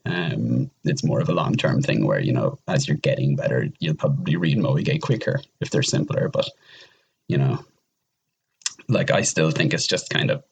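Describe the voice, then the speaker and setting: A man's voice: sits low, gravelly, husky. A man speaking as a podcast guest in a casual discussion of games, rambling and often restarting his phrases. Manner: hesitant, rambling, repeats phrase starts.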